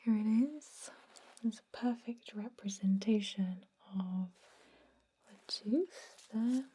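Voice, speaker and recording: A woman doing a gentle ASMR roleplay as a dentist, mixing breathy whispers with soft, reassuring talk to a patient. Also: takes it slowly. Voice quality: breathy whisper mixed with soft low-volume speech. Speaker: ASMR performer playing a dentist.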